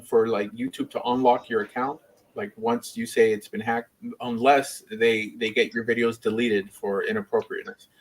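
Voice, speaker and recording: A man's voice: mid-range voice, breathy edge, rough recording. A man speaking casually as a rabbi and livestream host, rambling and opinionated in an informal way. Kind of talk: casual livestream chat and debate.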